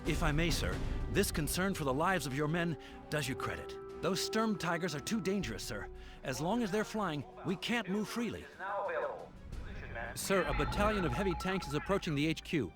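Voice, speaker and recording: An actor playing a brisk army officer reporting to his general in a military video game. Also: a little high, lively intonation, over music, lightly acted. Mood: clipped, respectful.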